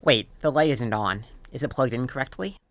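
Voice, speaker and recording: A woman playing a composed young team leader in a student audio drama, measured, peacemaking and faintly condescending. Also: extremely low pitch, dry recording, clean studio sound. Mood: mildly scolding.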